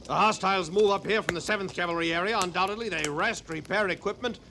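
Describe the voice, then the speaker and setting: Sharp, pompous army major. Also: male voice, high-pitched, quick pace. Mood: haughty, disapproving. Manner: stiff, indignant, formal. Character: pompous, rigid, haughty army major, distrustful and stubborn. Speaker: actor. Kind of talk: old time radio western acting. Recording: slightly noisy vintage radio recording.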